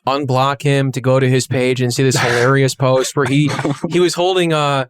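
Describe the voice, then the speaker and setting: A man's voice: medium pitch, steady tone, normal speed, smooth, dry recording, clean studio sound. A man co-hosting a podcast, chatting casually in a profane, ranting and jokey way. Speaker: podcast co-host. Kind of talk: casual chat.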